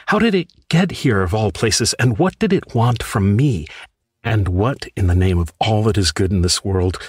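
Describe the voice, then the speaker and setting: An actor playing a grief-stricken, tormented man haunted by a raven, in a theatrical, halting, impassioned radio horror monologue. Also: deep voice, breathy edge, dry recording, clean studio sound. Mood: brooding, mournful. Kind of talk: dramatic monologue, old-time radio horror acting.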